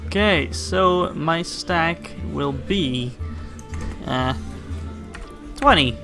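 A man's voice: on the high side, very slow. A man casually commenting on gameplay, thinking aloud with many fillers. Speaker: gaming channel host. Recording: voice over loud game music. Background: game music.

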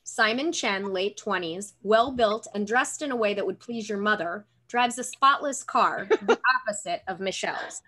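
A woman's voice: medium pitch, expressive, moderate pace, few pauses, pushed and bright. Woman reading screenplay directions. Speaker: stage directions reader. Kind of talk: scripted screenplay narration. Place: room at home, indoors. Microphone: computer mic on video call.

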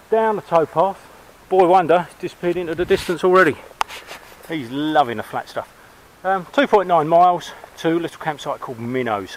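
A man's voice: mid-range voice, vocal fry, poor audio. A man in his forties from England, chatting to an action camera while riding along country roads and a canal towpath. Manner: chatty, upbeat, rambling asides. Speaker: cycle touring vlogger. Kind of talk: casual talk to camera while cycling. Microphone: action camera on the bike or held at arm's length. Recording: outdoor action-cam audio with wind noise.

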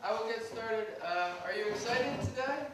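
A man teaching English in a classroom, talking to students in short, simple, halting phrases. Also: extremely high pitch. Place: echoey classroom. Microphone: distant camera. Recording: very echoey, distant, noisy classroom recording.

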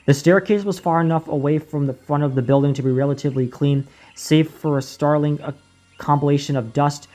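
A man reading a horror story aloud in a slow, deliberate way, now and then stumbling over a word and restarting it. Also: mid-range voice, darkish tone. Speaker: narrator. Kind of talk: creepypasta reading.

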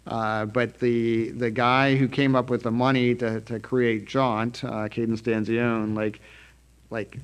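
A middle-aged man giving an unscripted press briefing from slides at a trade expo, halting often with fillers and restarts. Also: medium pitch, level tone, smooth. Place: conference room at a trade expo.

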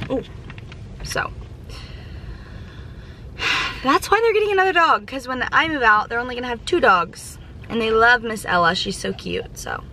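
A woman's voice: mid-range voice, pushed and bright, slight background noise. A woman of twenty-one chatting casually to camera from the driver's seat of a car, bubbly and full of fillers. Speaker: vlogger. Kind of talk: casual vlog chat. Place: inside a parked car. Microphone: camera on the dashboard.

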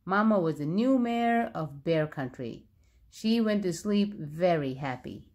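A woman narrating a children's storybook aloud, expressively and with clear phrasing for young listeners. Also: lowish voice, expressive, measured pace, darkish tone.

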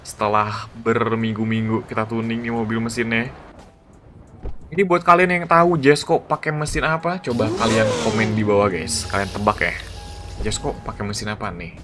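A man giving excited, slangy gameplay commentary as a gaming YouTuber. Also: on the low side, moderate pace, background noise. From Indonesia. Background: background music.

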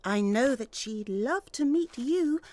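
A woman's voice: on the high side, animated, slow, darkish tone. A woman voicing a clever, scheming female duck with a sly, coaxing manner in a picture-book read-aloud. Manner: sly, coaxing storybook reading.

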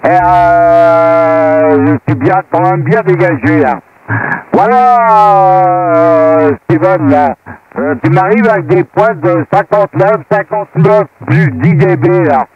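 A man from France talking casually and haltingly as an amateur radio operator, through a two-way radio transmission. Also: high-pitched, huge pitch swings, no room sound. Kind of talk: casual radio equipment report. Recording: muffled dry shortwave radio transmission.

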